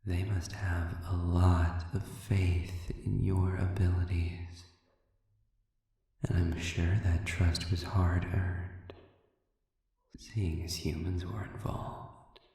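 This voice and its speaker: A man playing a sadistic, silver-tongued demon prisoner in an audio roleplay, speaking in formal, mock-courteous phrases with a breathy, whispery menace. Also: deep voice, little pitch movement, takes it slowly, dark tone. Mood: sly, probing. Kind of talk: scripted audio roleplay addressed to the listener. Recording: very echoey, cavernous reverb, distant.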